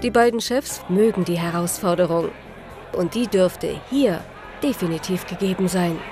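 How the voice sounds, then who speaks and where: A woman with a Swiss German accent narrating a TV report in a crisp, scripted voice-over. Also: fairly low pitch, normal speed, a crowd in the background. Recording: voice-over with music behind.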